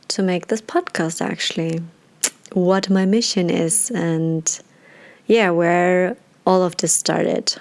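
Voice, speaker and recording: A young woman from Germany hosts her podcast, telling her personal story in a relaxed way, with many fillers and restarts. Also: on the low side. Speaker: podcast host.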